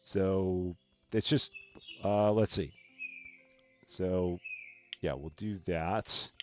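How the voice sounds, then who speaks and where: A man with a calm, soothing voice, casually talking through a game on a live stream and thinking aloud as he plays. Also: deep voice, extremely slow, faint music behind. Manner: soft-spoken, rambling, thinking aloud.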